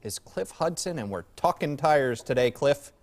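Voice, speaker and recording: A man's voice: medium pitch, expressive, normal speed. Guy hosting a local tv interview bit, chatty presenter style, regular mid voice. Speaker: TV show host.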